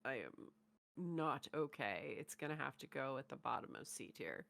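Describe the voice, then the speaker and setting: Woman co-host for casual reaction commentary, deep for a woman, noticeably creaky. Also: big pitch swings, moderate pace, a bit bright.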